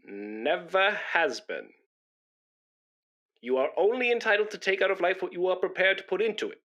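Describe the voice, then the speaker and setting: Comedy radio play: male actor as a self-important, boastful busybody, fairly high-pitched, swooping, bright delivery. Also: lively intonation, normal speed, lo-fi sound. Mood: pompous, self-righteous. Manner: pompous, wheedling, self-important. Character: pompous, boastful, self-righteous male busybody blood donor.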